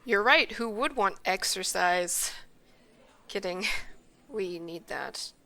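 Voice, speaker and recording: Woman acting bossy, bright-voiced young restaurant supervisor. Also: mid-range voice, animated, takes it slowly, vocal fry, full character voice. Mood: playful, wry. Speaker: voice actor in a radio play. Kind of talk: comedic radio play acting.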